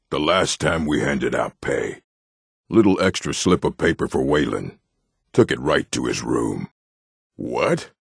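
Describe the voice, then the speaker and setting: An actor, a man, playing a surly, domineering orc with a gravelly, raspy voice and brusque delivery in a video game. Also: very deep voice, no echo, clean studio sound, full character voice. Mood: stern, grudging. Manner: curt, brusque. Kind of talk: video game character acting.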